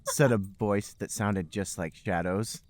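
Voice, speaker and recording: A man's voice: on the low side, measured pace, a little mellow, no room sound. A man narrating fan fiction as a podcast host, in a mock-serious comic reading style.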